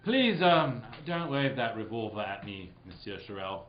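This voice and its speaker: A man's voice: on the low side, very animated, moderate pace, lo-fi sound. Man playing a swooping, theatrical radio detective. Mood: nonchalant. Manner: playful, breaking off into asides and laughter. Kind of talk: hammy old-time radio mystery read, with joking ad-libs.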